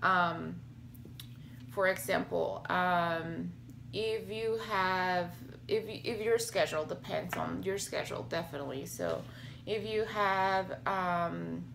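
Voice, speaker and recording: A woman in her thirties talking to the camera in a casual home vlog, slightly hoarse and raspy, with many fillers and restarts. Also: fairly low pitch, slow pace, a bit bright, slight background noise. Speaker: health vlogger. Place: small home office with bookshelves, indoors. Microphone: webcam or laptop camera at desk.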